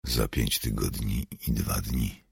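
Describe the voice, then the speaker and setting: A man voicing a calm, commanding male leader of a commune in an audiobook, intense and soothing in a measured murmur. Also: extremely low pitch, husky, no echo, studio quality, pitched above the performer's own voice, exaggerated voice. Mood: grave, hushed.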